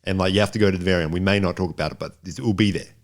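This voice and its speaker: A man's voice: sits low, rapid-fire. A man co-hosting a podcast, giving a casual film review in a rambling, jokey way and repeating himself for emphasis. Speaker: podcast co-host.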